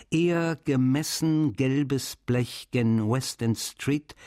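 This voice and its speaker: A man acting as a wry, weary writer in a radio feature reads his letters to a friend. He speaks slowly at a middling pitch, taking his time with measured phrasing. The sound is dry and close. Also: darkish tone, no echo, natural voice. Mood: measured recital. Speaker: actor reading letters.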